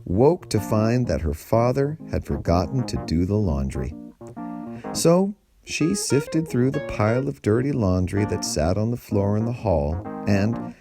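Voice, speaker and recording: A man reading a story aloud as a storyteller, with deliberate, dramatic phrasing and emphasis. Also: lowish voice, very animated, creaky, music in the background. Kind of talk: expressive storybook narration.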